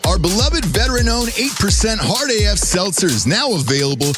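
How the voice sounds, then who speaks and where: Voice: mid-range voice, sing-song, a little fast. An American announcer reading a scripted radio commercial. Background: loud electronic hip hop music.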